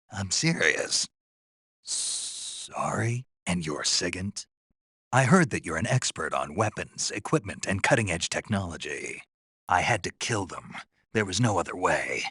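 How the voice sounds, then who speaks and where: An actor playing a gruff, earnest, deadpan American soldier on a covert mission in a video game, with a gravelly, husky voice and terse delivery. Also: male voice, low-pitched, no room sound, clean studio sound, exaggerated voice. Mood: deadpan curiosity. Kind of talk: video game voice acting, radio dialogue.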